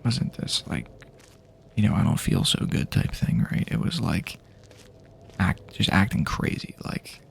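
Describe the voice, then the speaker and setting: A man speaking softly and half-whispering in a halting, casual, rambling way, improvising an immersive ASMR roleplay as an apocalypse survivor. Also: deep voice, very animated, a little hiss or hum. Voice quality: breathy, half-whispered, gravelly. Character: weary friendly male apocalypse survivor guiding a companion.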